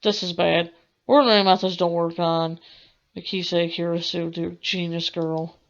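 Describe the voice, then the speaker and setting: A woman's voice: fairly low pitch, fairly even intonation, moderate pace. Theatrical woman reading a game, dull audio. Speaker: game streamer. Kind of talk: dramatic read-aloud of visual novel narration. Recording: dull audio with faint music.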